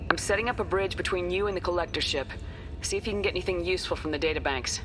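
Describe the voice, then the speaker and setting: An actress playing a resolute, grim military starship commander in a video game, with a clipped, decisive manner. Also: female voice, fairly low pitch, fast talker, music in the background, lightly acted, low-quality recording. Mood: commanding.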